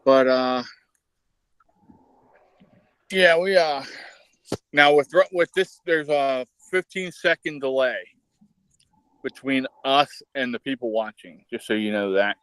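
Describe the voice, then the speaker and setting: An easygoing American man chats as host of a home livestream, loose and informal, dropping the odd curse. His voice is plain and mid-pitched, recorded dry and close with no room around it. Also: takes it slowly, pauses often, a bit bright, clear, resonant tone, no echo, poor audio. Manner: easygoing, swears casually, reads chat aloud. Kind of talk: casual chat.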